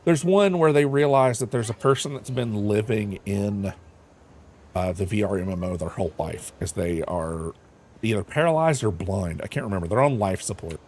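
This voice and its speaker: Rambling low-voiced man streaming a game. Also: expressive, moderate pace, darkish tone. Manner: rambling, thinks aloud with fillers and self-corrections. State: crackly voice. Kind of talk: casual chat while gaming.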